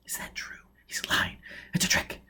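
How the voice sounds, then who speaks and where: A man's voice: moderate pace, sharp tone. Man putting on an anxious, whispery young woman in distress. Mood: frightened, whispering. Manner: breathy, anguished whispering and pleading. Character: exhausted, fearful young woman telepath, self-blaming and distrustful.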